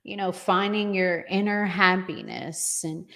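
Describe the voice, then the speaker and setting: A woman co-hosting a relationship podcast, talking conversationally with deliberate, emphatic phrasing. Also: medium pitch, fairly even intonation, fairly bright tone. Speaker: podcast co-host.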